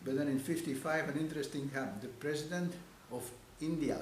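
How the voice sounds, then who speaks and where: A man in his seventies from Belgium with a Flemish accent, a native Dutch speaker, telling history in an interview in a halting, repetitive way as a missionary priest. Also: on the high side. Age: 70s. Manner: halting, repeats phrases and affirmations. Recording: echoey room with background hiss.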